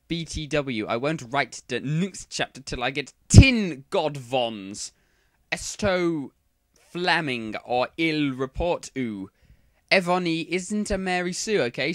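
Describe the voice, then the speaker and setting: A man voicing a petulant, defensive teenage fan-fiction author who whines slowly. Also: on the high side, animated, fairly bright tone, no room sound, voice pushed up. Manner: whiny, petulant, drawn-out. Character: whiny defensive teen fanfic author.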